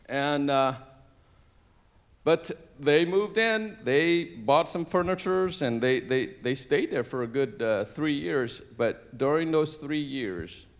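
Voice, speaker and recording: A middle-aged man from Cambodia, a native Cambodian speaker, telling his life story on a church stage into a handheld mic, halting with many fillers and restarts. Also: mid-range voice. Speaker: interview guest. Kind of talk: personal testimony on stage.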